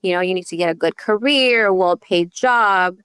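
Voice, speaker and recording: A woman's voice: lowish voice, moderate pace, fairly bright tone, smooth, no room sound. A woman from El Salvador who grew up partly in Virginia, telling her personal story as a podcast guest in a candid, conversational way, often checking in with "you know" and "right?". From born in El Salvador, raised partly in Northern Virginia. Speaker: podcast guest, youth advocate.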